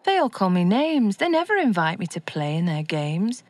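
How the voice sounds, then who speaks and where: A woman's voice: medium pitch, very animated, moderate pace, darkish tone, no room sound. A woman voicing a lonely young girl who feels left out. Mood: sad.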